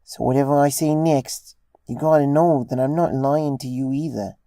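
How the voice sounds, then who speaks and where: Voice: mid-range voice, normal speed, dark tone, no room sound, pitched above the performer's own voice, close to an everyday voice. A narrator voicing an adult female pony, a mother who confides in a hesitant, earnest way, in a story reading. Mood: earnest, defensive.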